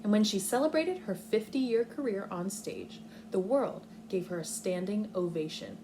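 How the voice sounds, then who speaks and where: Lady kindergarten teacher doing a read aloud, thinks out loud step by step, really up and down pitch, bit of noise behind her. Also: animated, moderate pace. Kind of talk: read-aloud lesson for young children.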